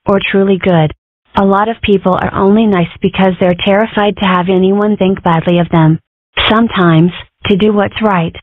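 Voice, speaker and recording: A woman's voice: mid-range voice, no echo, lightly acted. A woman voicing an opinionated, mischievous, sarcastic schoolgirl in a comic strip dub, wry and argumentative. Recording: muffled, phone-like narrow sound.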